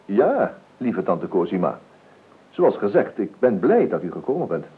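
An actor playing a nervous, evasive, eccentric gentleman in a radio play, stammering and repeating himself with ironic courtesy. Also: on the low side, sing-song, measured pace, music in the background. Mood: falsely polite. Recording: tinny, band-limited old radio recording with noise.

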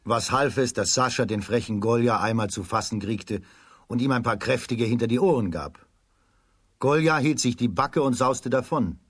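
Children's radio play narrator, man: standard German, husky tone, dry close sound, expressive storytelling. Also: mid-range voice, normal speed, no room sound. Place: dry studio.

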